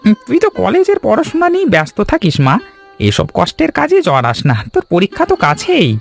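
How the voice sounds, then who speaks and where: A man playing a humble, devoted village sweet-maker and father in an animated story, earnest and fatherly. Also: high-pitched, fast, vocal fry, heavily acted. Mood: fond, reluctant. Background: background music.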